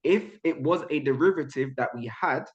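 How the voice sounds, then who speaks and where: A man's voice: fairly high pitch, normal speed. Man on a dull, lo-fi online call. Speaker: participant in an online discussion. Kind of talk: casual debate.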